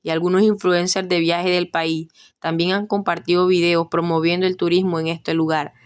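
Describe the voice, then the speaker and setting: A woman's voice: on the low side, level tone, moderate pace, smooth. A woman reading scripted documentary narration as a podcast reporter.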